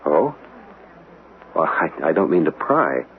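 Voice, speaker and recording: Earnest, expressive radio-drama gentleman. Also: a bass voice, slow pace, heavily acted. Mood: earnest, probing. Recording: tinny band-limited radio recording with hiss.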